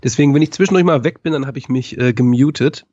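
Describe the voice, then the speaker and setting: Co-Gastgeber, Mann, erkältet, norddeutscher Akzent, viele Ähms, mittlere Stimme. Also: male voice, medium pitch, quick pace, dark tone, no echo, lo-fi sound. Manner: hesitant, many fillers. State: stuffy nose from a cold. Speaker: podcast co-host. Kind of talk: casual chat.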